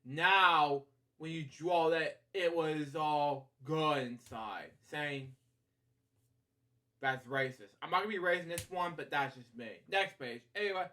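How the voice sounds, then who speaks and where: A man hosting a bedtime story read-aloud, halting and rambling as he drifts into casual asides. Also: fairly high pitch, bright, forceful tone. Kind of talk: picture-book read-aloud with casual off-the-cuff commentary.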